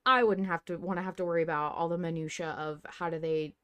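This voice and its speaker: A woman's voice: fairly low pitch, steady tone, quick pace, fairly bright tone, clear, resonant tone. Woman podcast host chatting playfully. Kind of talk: casual fan discussion of an audio drama.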